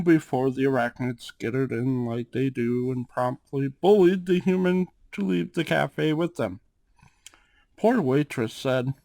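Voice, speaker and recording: A man playing a suave, calm and protective cat-folk gang boss in an ASMR roleplay, speaking in a measured, drawn-out way with questioning tags. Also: on the high side, creaky, no room sound, heavily acted. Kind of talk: ASMR roleplay, acted monologue to one listener.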